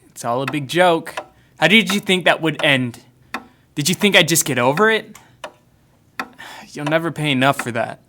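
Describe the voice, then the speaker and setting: An actor playing a wounded, bitter young man in an audio drama, speaking bluntly and haltingly. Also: on the high side, natural voice. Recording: faint background noise.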